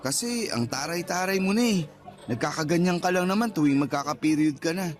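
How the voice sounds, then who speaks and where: An actor playing a defensive, teasing, flippant young boyfriend with a Filipino accent in a radio drama, whining out excuses. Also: male voice, a little high, expressive, brisk, close to an everyday voice. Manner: whiny, flippant excuse-making. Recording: background music under the voice.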